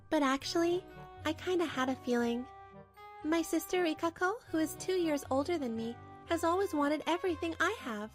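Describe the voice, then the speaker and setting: A woman narrating a manga dub as the wronged wife, in a chatty, dramatic inner monologue. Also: very high-pitched.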